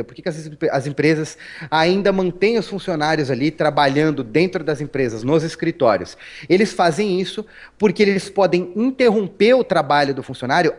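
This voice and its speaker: Brief: Paulistano male guest, relaxed storyteller, raised pitch, lots of restarts and "né?". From Brazil. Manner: chatty, storytelling, frequent restarts and tag questions. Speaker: interview guest. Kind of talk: casual interview talk.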